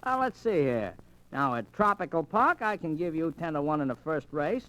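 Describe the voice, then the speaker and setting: A man playing a slangy, streetwise small-time American bookie in an old-time radio drama, chatty and animated. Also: on the high side, moderate pace, poor audio. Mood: chatty, confident.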